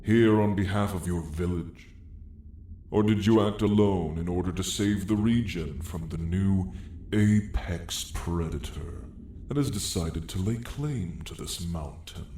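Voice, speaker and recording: A man voicing a haughty, sardonic dragon in a slow, condescending, theatrical drawl for an ASMR roleplay story. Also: sits low, mellow, echoey room, slight background noise. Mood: menacing. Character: haughty, sardonic dragon, apex predator of a mountain.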